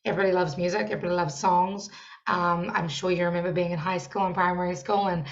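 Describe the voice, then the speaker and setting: A young woman with a New Zealand accent, a guest talking in a loud, lively way with frequent laughs. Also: lowish voice, fast, muffled recording. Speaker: podcast guest, songwriting coach. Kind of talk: casual interview chat.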